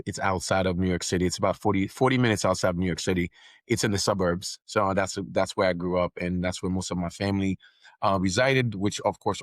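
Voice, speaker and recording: A man's voice: low-pitched, no room sound, studio quality. A middle-aged man from Haiti with a Haitian accent, whose first language is Haitian Creole, talking confidently as a podcast guest. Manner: confident, businesslike, repeats words while building a point. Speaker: podcast network founder, guest. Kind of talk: casual interview talk.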